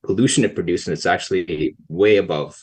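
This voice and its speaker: A young man from Pakistan, a policy researcher, answering questions in a casual podcast interview in a soft-spoken, hesitant way with many pauses. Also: sits low, slightly rough.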